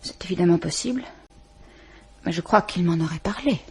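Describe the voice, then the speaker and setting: Trembly breathy woman, stammers, half whispers, old radio play. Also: on the low side, darkish tone. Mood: subdued. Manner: halting, stammering, often near a whisper. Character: distraught woman questioned after a shooting. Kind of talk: vintage French radio drama.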